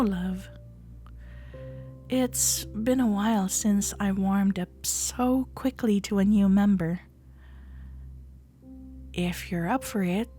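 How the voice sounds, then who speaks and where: A woman playing an affectionate, gently teasing cuddler in ASMR roleplay audio, soft-spoken and often slipping into a breathy whisper. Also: mid-range voice, lightly acted. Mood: cozy, sleepy. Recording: soft cafe jazz music behind. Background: soft background music.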